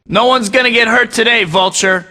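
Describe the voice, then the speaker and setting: A man's voice: extremely high pitch, normal speed, long reverb tail, heavily acted. An actor playing a sarcastic young male superhero in a video game, full of teasing quips. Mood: taunting. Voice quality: bright. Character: wisecracking young male superhero, cocky and joking. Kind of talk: quippy game voice clips.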